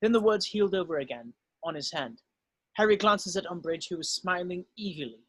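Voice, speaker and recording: A man's voice: high voice, brisk, no room sound. A man narrating, reading screenplay stage directions aloud at a script table read.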